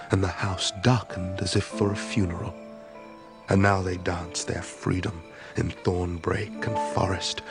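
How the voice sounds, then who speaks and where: Solemn god of wine, deep slow poetic preaching, radio drama w music behind him. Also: male voice, gravelly. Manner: measured, incantatory, poetic delivery. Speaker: actor. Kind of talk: audio drama acting.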